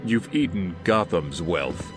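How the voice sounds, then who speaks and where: A low, slow male vigilante voice, cold and commanding. Each phrase lands as an ominous, measured threat, in audio drama style over prominent dark music. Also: lively intonation. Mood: cold menace. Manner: slow, deliberate, ominous pronouncements. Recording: dramatic scary music behind.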